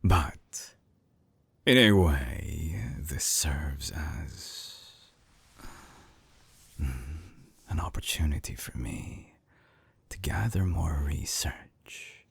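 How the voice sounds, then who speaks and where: A man playing an obsessive, calculating mad-scientist villain in an intimate audio drama, coaxing in a husky half-whisper with slow, silky menace. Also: expressive, no room sound, exaggerated voice. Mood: controlled, calculating. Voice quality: very deep, gravelly, husky, breathy half-whisper. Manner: slow, deliberate, silky menace with lingering pauses. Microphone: close binaural microphone, very dry.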